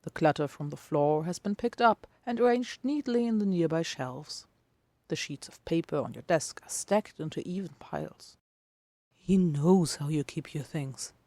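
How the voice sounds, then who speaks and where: A podfic reader giving a dramatic scripted narration in a hushed, deliberate way, often dropping toward a whisper. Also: mid-range voice, dry recording.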